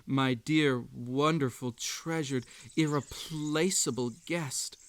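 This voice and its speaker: Man acting slow-talking, unhinged magical hare host. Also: medium pitch, steady tone, clean tone, close to an everyday voice. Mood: doting, obsessive. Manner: theatrical, halting, swings between sweet coaxing and menace. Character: unhinged, obsessive male hare-like magical tea-party host. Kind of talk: roleplay audio acting, intimate second-person address.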